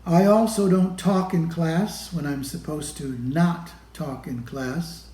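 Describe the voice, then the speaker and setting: A man reading a children's picture book aloud in a deliberate manner, pausing between phrases. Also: a little high, fairly even intonation, dark tone. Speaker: storybook reader. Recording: roomy, echoey room sound.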